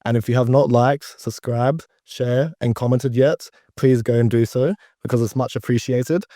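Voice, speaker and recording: A man co-hosts a podcast, greeting listeners and drawing out his guest in an upbeat, encouraging way. His voice has a very dark, mellow tone over a middling pitch, recorded dry and close. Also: fairly even intonation, normal speed, no room sound. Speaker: podcast co-host. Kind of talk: podcast interview.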